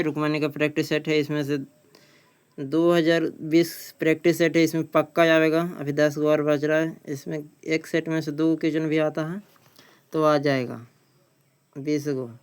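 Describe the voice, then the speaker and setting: Flat-toned man teaching, clear smooth voice. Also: a little high. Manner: plain-spoken, repeats phrases as he reads. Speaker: teacher, exam coaching. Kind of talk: reading out practice questions and answers as a lesson.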